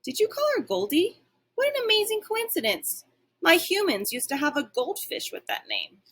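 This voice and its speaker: A woman's voice: very high voice, normal speed, voice pushed up, heavily acted. A woman voicing a small, eager young male dog in a storybook, chatty, friendly and a bit boastful. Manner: excitable, chatty.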